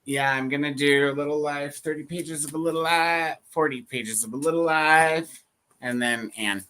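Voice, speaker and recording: Bright-voiced, hesitant co-host. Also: mid-range voice, fairly even intonation, moderate pace. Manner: hesitant, restarts sentences, laughs softly. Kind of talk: casual chat.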